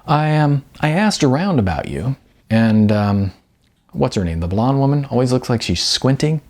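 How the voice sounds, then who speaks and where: A man voicing a laid-back, apologetic young movie star in an audiobook, speaking in a halting, casual, earnest way. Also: medium pitch, sing-song, darkish tone.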